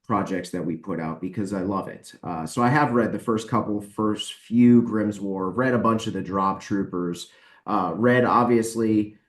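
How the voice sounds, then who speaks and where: A man talks as a guest on a podcast in a fairly low voice. He is informal and emphatic, wandering through his answers in a conversational way. The recording is clearly echoey, with the room around his voice. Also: normal speed. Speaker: podcast guest, publisher and author. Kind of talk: casual, conversational interview answers. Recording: roomy, echoing voice recording.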